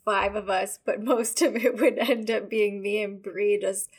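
A woman co-hosting a podcast in casual chat, measured and a bit self-conscious, with a higher voice. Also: steady tone, husky. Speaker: podcast co-host.

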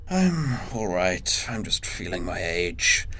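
A man's voice: deep voice, big pitch swings, slow, pushed and bright, a little hiss or hum, heavily acted. A man playing an aging, weary and mild-mannered older man in an audio drama, wry and understated. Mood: tired, wry.

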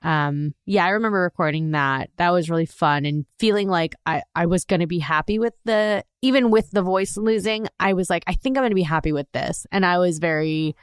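A woman co-hosting a casual rewatch podcast, chatty and quick to laugh, with lots of fillers. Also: lowish voice, clear, resonant tone, dry recording, studio quality. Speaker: podcast co-host.

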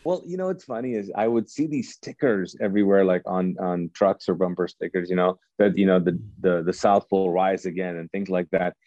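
Deep-voiced man, animated storyteller. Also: brisk, hardly any pauses, no room sound, highs cut off. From Kuwait. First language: Urdu. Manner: animated storyteller who acts out past conversations. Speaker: guest pastor. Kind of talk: casual podcast storytelling.

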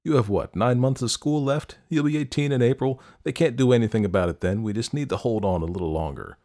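A man voicing an earnest, devoted young man in an audiobook, soft and gentle. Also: medium pitch, fast talker, pitched above the performer's own voice, close to an everyday voice. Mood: reassuring. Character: earnest, devoted young man of about twenty-three, tender boyfriend. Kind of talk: audiobook character acting.

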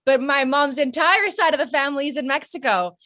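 A young woman from the Texas border region talks animatedly as a guest, sliding between Spanish and English. Her voice sits on the high side. The sound is dry and close. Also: brisk, pushed and bright, no echo. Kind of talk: casual interview chat.

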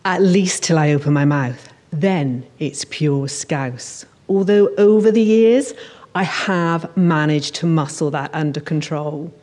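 A woman writer reading her first-person crime fiction aloud at a live event, with an expressive storytelling delivery. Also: sits low, slow. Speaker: writer reading own work on stage. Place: on stage at a live showcase event in a hall.

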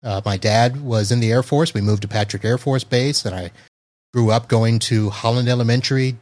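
A man hosting a podcast from Florida, talking over a video call in an easy, teasing way. He jokes with his guest and laughs a lot, at a middle pitch. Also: fairly even intonation, normal speed, darkish tone. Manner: joking, laughs often. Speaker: podcast host. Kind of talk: casual interview chat.

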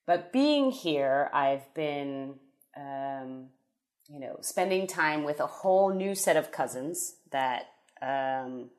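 A woman's voice: deep voice, lively intonation, slow. A woman in her thirties, a podcast guest chatting casually, rambling and correcting herself as she tells a story. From United States.

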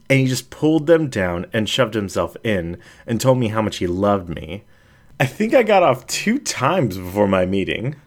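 A man voicing a playful adult female animal character in an audiobook, with an animated, confiding storytelling manner. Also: medium pitch. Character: playful adult female anthropomorphic animal sharing personal anecdotes.

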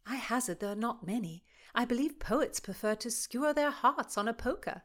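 A woman's voice: lively intonation, a bit bright. A woman doing a modest young lady. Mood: earnest. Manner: higher and lighter, quick and polite. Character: careful, modest young gentlewoman.

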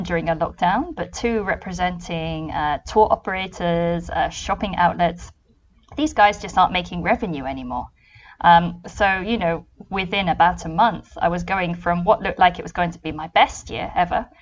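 British woman, low voice, lo-fi sound. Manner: thoughtful, many ums and restarts. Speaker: travel consultant guest. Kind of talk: conversational interview answers.

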